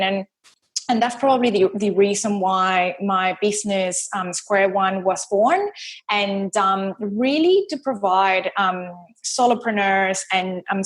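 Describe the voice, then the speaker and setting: Woman, venezuelan spanish accent, thirties, upbeat guest, lots of fillers and laughs. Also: medium pitch. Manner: bubbly, laughs often, frequent fillers and repeated words. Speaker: podcast guest, business strategist. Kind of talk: casual interview chat.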